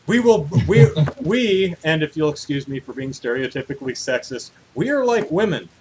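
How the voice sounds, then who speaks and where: A man co-hosting a casual livestreamed podcast about video game news, talking in an animated, jokey way. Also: sits high, a little hiss or hum, poor audio. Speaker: podcast co-host. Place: hot room at home, on a livestream.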